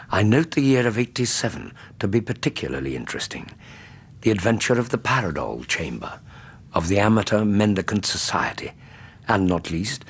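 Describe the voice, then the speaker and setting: Deep-voiced English Doctor Watson, Victorian storyteller. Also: male voice, breathy edge. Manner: measured, eloquent storyteller. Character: Doctor Watson, gentlemanly Victorian male narrator and loyal friend. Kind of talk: dramatic literary radio narration.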